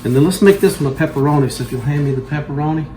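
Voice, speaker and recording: A man's voice: fairly high pitch, very fast, noisy background. A man, hosting a home cooking show and walking viewers through making pizza in a folksy, instructive way.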